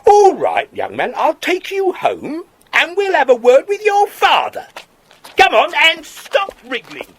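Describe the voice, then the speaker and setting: A woman's voice: high voice, huge pitch swings, a little slow, a little hiss or hum, heavily acted. A woman playing a stern, suspicious adult in a children's audio drama, scolding with indignant authority. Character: stern, scolding, suspicious grown-up catching a boy.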